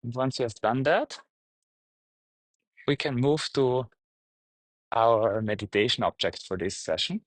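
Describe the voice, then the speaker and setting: A man guiding a meditation, speaking gently in short, halting phrases with pauses. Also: medium pitch, expressive, no echo. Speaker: meditation teacher, guide. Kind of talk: guided meditation, unscripted instruction.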